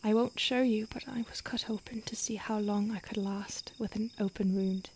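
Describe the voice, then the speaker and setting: A woman playing an ancient, secretive vampire in a whispered roleplay ASMR, hushed and halting with deliberate pauses. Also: medium pitch, fairly even intonation, close to an everyday voice. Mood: grave, confiding. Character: ancient female vampire, secretive, urgent, weary, protective. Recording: faint hiss, outdoor night insects.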